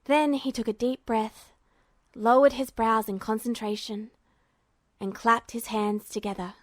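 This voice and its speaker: High-voiced woman narrating a story. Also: steady tone, unhurried, dry recording, studio quality. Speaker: amateur audiobook narrator.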